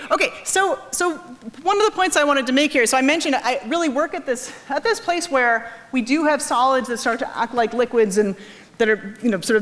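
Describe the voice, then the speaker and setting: This is a woman with a New England American accent and a somewhat high-pitched voice. She lectures informally, like a professor talking to a general audience. Her explanations are animated and often double back as she rephrases herself. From New England, United States. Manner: animated explaining, self-correcting with frequent false starts. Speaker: physics professor giving a lecture. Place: large auditorium stage.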